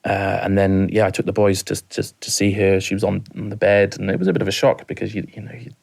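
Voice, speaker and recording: Halting, low-voiced Welsh man. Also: little pitch movement, creaky. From rural mid Wales. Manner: halting, repeats words, soft-spoken. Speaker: guest. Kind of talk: emotional personal storytelling.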